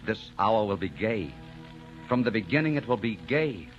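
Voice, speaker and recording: Crown prince: tender, gallant lover, very low voice, muffled vintage radio drama over music. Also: male voice, very animated, moderate pace. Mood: romantic. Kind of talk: 1940s radio romance acting.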